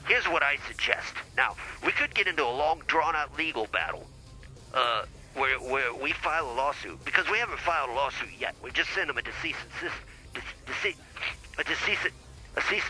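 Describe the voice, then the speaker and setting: Man playing a bright, blustering small-time lawyer. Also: on the high side, expressive, moderate pace, soft background music, rough recording. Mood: blustery advising. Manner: folksy, rambling, stumbles over legal words. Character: blustering male small-time lawyer advising podcast hosts. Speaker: lawyer character, show guest. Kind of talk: improvised comedy podcast banter.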